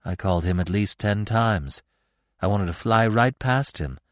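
A man voicing a gossipy, self-justifying female magpie with a croaking voice in a storybook. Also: lowish voice, animated, unhurried, no room sound, clean studio sound. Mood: aggrieved.

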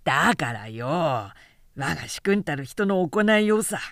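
A woman's voice: low voice, very animated, a little slow, a bit bright, no echo, clean studio sound, exaggerated voice. Woman acting blunt, grumbling male warrior. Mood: disgruntled. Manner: rough, blunt masculine speech, grumbling. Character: blunt hot-tempered male warrior, grumbling sworn brother. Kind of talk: literary audiobook dialogue.

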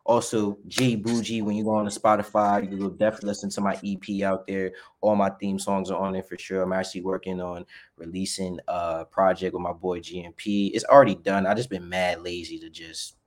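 A man's voice: sits low, flat delivery, brisk. A man in his twenties with a New York accent, a wrestler guest chatting in slangy, hyped street talk. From the Bronx, New York. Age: 20s. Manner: slangy and hyped, calls everyone bro. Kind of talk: casual chat.

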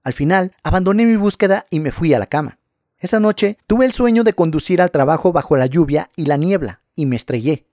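Guy narrating creepy stories, kinda high voice, dry close sound. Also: brisk, darkish tone, dry recording. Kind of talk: scripted narration of scary stories.

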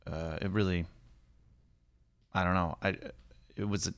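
An American man in his forties from Northern California, a podcast guest telling anecdotes casually in a halting, wry, self-interrupting way. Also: low voice, fairly even intonation, clean tone, dry recording. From Northern California, United States.

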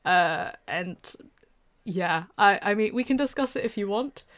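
Smooth-voiced young British woman, chatty. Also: medium pitch, animated, a little slow, a bit bright. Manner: hesitant, many fillers and restarts. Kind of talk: casual chat.

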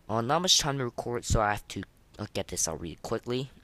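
A man's voice: medium pitch, animated, fast, fairly bright tone, no echo. A young man hosting a casual, unscripted podcast of game ratings in a hesitant, rambling, informal way. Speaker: podcast host.

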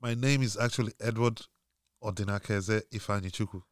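A man's voice: lowish voice, fairly even intonation, brisk, dry recording. Man from Nigeria, podcast guest, laid-back, lots of "you know", dry close sound. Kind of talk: casual interview talk.

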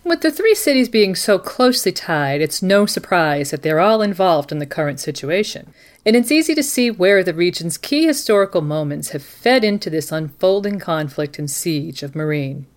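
A woman hosting a scripted mock news broadcast, presenting and interviewing in a measured, formal way. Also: fairly low pitch, sing-song. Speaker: podcast host playing a live news anchor.

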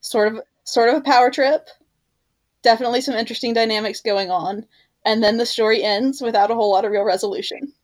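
A woman's voice: fairly high pitch, steady tone, fast. A woman co-hosting a podcast, talking in a casual, conversational style. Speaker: podcast co-host.

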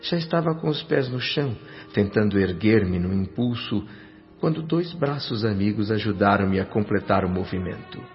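Man narrating slow and thoughtful, lowish voice, dull audio, soft music. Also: animated. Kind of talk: scripted first-person narration. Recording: dull radio drama audio with faint music.

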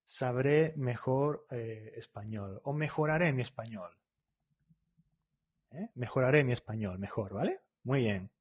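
A man leads a live online language lesson, reading viewers' comments aloud and correcting them as they come in. His voice is husky and sits in a middle pitch. He talks fast and keeps checking in with short tags like "¿vale?". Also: animated. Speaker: Spanish teacher.

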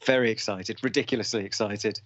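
A man's voice: fairly low pitch, fairly even intonation, fairly quick, fairly bright tone, dry recording, dull audio. A man in his forties, a podcast guest who talks about his schools programme in an enthusiastic, motivational way, with hesitations and restarts. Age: 40s. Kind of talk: casual podcast interview.

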